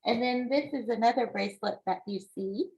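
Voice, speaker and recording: A woman with a fairly high voice presents as a children's author. She moves between reading aloud and explaining, speaking deliberately and with an encouraging, teacherly manner to a young audience. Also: vocal fry, darkish tone, rough recording. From United States.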